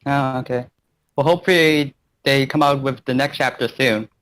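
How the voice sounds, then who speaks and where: A man with a medium-pitched voice works as host and narrator of an amateur fan fiction reading. His delivery is casual, and he sometimes trips over his words. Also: fairly even intonation, moderate pace, fairly bright tone. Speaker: channel host and story narrator.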